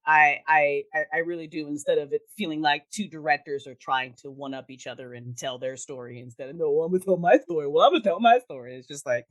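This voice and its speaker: A woman talking casually as a podcast guest, giving emphatic opinions in an expressive, opinionated way. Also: deep voice, fast, hardly any pauses. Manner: opinionated, emphatic, acting out mock quotes. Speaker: podcast guest, audio drama creator. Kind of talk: casual chat.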